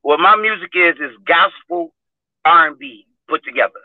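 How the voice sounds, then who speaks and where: A hoarse man chatting casually and singing, rambling and repeating words as he talks about God. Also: a little high, normal speed, no echo. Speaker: guest singer. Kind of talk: casual chat and a cappella singing. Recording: tinny phone line.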